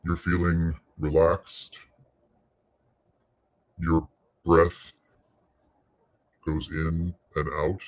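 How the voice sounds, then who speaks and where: Male meditation narrator, low register, very slow delivery, soothing and unhurried, short phrases with pauses. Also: level tone. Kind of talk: guided meditation.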